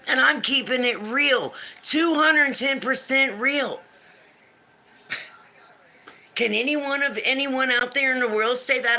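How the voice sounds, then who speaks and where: Deep-voiced, blunt woman in her fifties. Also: pushed and bright. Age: 50s. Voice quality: deep smoker's voice. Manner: blunt, emphatic, swears freely, repeats for emphasis. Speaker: livestream host. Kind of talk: emotional live-stream venting. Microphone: phone or webcam for a live stream.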